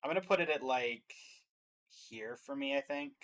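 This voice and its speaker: A man's voice: mid-range voice, normal speed, a bit bright. A man hosting a stream, giving casual reaction commentary.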